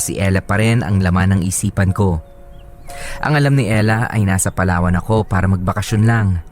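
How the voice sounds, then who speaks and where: A man's voice: fairly low pitch, darkish tone. A man with a Filipino accent reading a scripted first-person story as a radio narrator, with music behind him.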